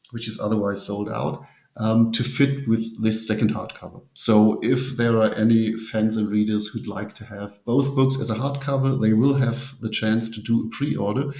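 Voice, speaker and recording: A man's voice: on the low side, a little mellow. A native German man speaking English as an interview guest, explaining his publishing work earnestly and choosing his words carefully. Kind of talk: conversational interview. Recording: roomy, echoing sound.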